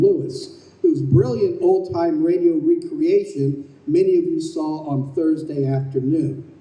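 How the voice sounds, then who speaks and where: A man's voice: a little high, mellow. A man giving a prepared introductory speech to an audience as the playwright and director of a show. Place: convention room, live on stage. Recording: roomy convention hall, distant microphone.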